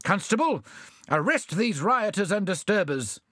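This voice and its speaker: A man doing a high, blustering little mayor. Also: big pitch swings, a little slow, pushed and bright, dry recording, clean studio sound, exaggerated voice. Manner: blustering, stern. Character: pompous bustling little fat male mayor.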